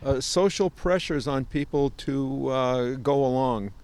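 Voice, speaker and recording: A man interviewing someone, asking questions haltingly as he searches for his words. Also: mid-range voice, darkish tone, clean tone.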